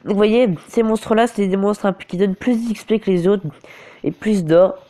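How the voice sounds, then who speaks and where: A man narrates a mobile game while nursing a cold and a sore throat. His tone is mellow and relaxed, and he keeps halting mid-phrase to hunt for the right word before pressing on. It is loose, casual commentary recorded on a phone. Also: high voice. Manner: hesitant, often restarts and corrects himself. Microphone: phone screen recorder.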